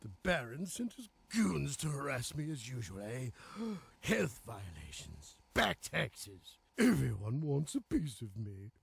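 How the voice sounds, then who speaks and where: Give the game a greedy old crime boss with a deeply creaky, very husky wheeze. He is oily and pompous, swinging his pitch as he schemes, and tags phrases with a questioning grunt. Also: wildly swooping pitch, slow, full character voice. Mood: scheming, smug. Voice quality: wheezy, gasping, gravelly. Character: greedy, sly, gluttonous older male crime boss. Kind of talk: video game cutscene acting.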